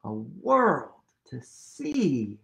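A man in his thirties reading a picture book aloud to children, animated and playful, leading them in actions, recorded on a webcam in a small room. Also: fairly high pitch, extremely slow, gravelly. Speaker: storytime reader.

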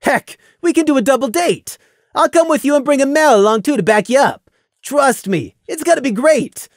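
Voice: high-pitched, animated, normal speed, no echo, clean studio sound, pitched above the performer's own voice. A narrator doing a rowdy, teasing young stallion. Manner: boisterous, teasing, pushy.

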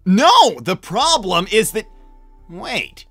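A man's voice: high-pitched, big pitch swings, takes it slowly, pushed and bright, slightly rough, no room sound, faint music behind, heavily acted. A man playing a brash, casual, impatient young hedgehog hero in a fan-made cartoon. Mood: exasperated. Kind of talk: fan-made animated series voice acting.

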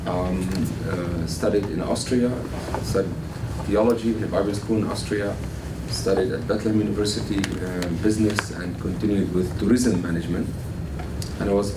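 A middle-aged man, giving a guest lecture to a college class, measured and full of fillers. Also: low-pitched, background noise. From Palestine. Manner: measured, many fillers, gestures with both hands. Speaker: guest speaker. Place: classroom with a blackboard. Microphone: far camera. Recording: echoey classroom from far off.

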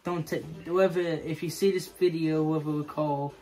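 A young man with a fairly high voice hosts a casual vlog. He talks to camera in a small bedroom, with faint music behind him. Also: steady tone, moderate pace, a little mellow. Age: teenager to young adult. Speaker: video host.

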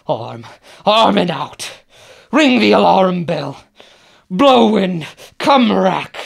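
A man's voice: a little high, creaky, heavily acted. A man playing a doomed, defiant tyrant king in a Shakespearean radio drama, declamatory and swinging between brooding and roaring.

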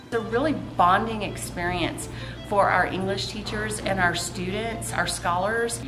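A woman's voice: medium pitch, steady tone, measured pace, music in the background, low-quality recording. A middle-aged woman, a program director, earnestly explaining a school writing project in an interview. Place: indoor school room.

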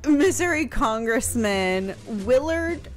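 A woman's voice: high-pitched, animated, slow pace, soft background music. A woman in her thirties explaining casually as a podcast historian, close on a broadcast mic in a room at home. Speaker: historian answering questions. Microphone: close broadcast microphone on boom arm.

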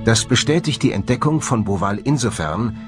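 A man presenting a documentary in scripted narration, with measured, rhetorical, emphatic phrasing. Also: mid-range voice, animated, music in the background.

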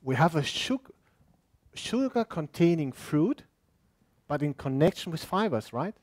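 A young man presenting a cooking demonstration in a classroom, speaking halting English with restarts, picked up by a distant room mic. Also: a little high, big pitch swings, no echo. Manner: halting non-native English, restarts words. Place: indoor classroom with audience.

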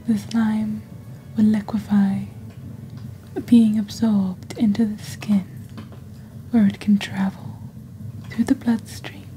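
A woman voicing a witch who hosts a potion shop in soft, whispery, breathy ASMR, speaking slowly and deliberately in a measured sales patter. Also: medium pitch, slight background noise, lightly acted, rough recording. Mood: calm, mysterious. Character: witch shop host peddling dark potions and poisons. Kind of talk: soft-spoken ASMR shopping-channel presentation.

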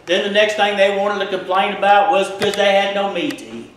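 Folksy pastor preaching in an echoey church. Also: male voice, high-pitched, fairly quick, slight background noise. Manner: folksy, animated, gesturing widely while preaching. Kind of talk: sermon. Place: small church sanctuary.

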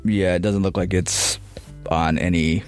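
A man's voice: deep voice, steady tone, a little slow, smooth, soft background music. A man hosting a podcast in a casual, conversational discussion style. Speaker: podcast host.

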